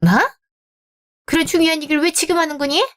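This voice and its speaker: An overbearing middle-aged mother in a radio drama, nagging and indignant, her voice sitting fairly high, as a woman voices her. She talks down to the young woman her son wants to marry. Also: fairly even intonation, brisk, fairly bright tone.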